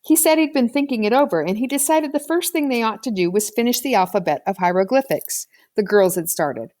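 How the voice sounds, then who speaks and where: A woman's voice: fairly high pitch, expressive, a little fast, a little mellow. A woman reading a children's novel aloud as scripted audiobook narration. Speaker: audiobook reader.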